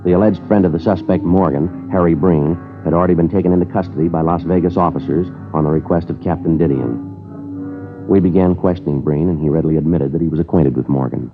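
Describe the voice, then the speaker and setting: A terse, deep-voiced radio police sergeant. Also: male voice, a little fast. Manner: clipped, flat, matter-of-fact delivery. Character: terse hard-boiled male police sergeant. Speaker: detective narrator. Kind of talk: 1950s radio crime drama. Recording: tinny narrow-band old radio transcription with music.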